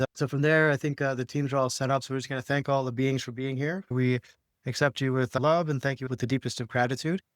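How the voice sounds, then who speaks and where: A man with a very clear, smooth voice, recorded close and dry. He talks in a casual, laid-back way, like a spiritual podcast host guiding someone through a healing session. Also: mid-range voice, dry recording. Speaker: podcast host and energy healer.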